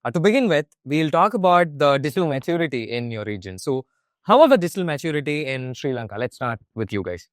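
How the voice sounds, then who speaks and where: A man hosting a roundtable panel, moving it along with short, upbeat prompts and quick reactions. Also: fairly high pitch, big pitch swings. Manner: upbeat, brief interjections. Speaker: host, moderator. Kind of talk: roundtable discussion.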